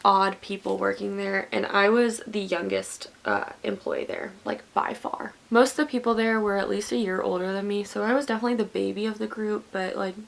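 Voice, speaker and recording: A woman's voice: medium pitch, fairly quick, gravelly, hardly any pauses. A woman in her twenties telling a story in a rambling, chatty way while getting ready. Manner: rambling, chatty with many likes. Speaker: vlogger.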